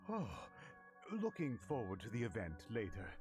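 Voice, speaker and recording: A man voicing a good-natured, slightly gruff porter in a video game, plain-spoken and given to mock grumbling. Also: on the low side, wildly swooping pitch, normal speed, over music. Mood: friendly.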